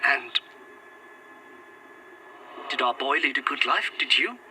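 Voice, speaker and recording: An actor playing a devoted, courtly Victorian gentleman in a gothic audio drama, speaking in a formal, earnest, old-fashioned way. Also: mid-range voice, animated, slow, a little hiss or hum, heavily acted, low-quality recording. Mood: hesitant, moved. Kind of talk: found-footage gothic audio drama acting.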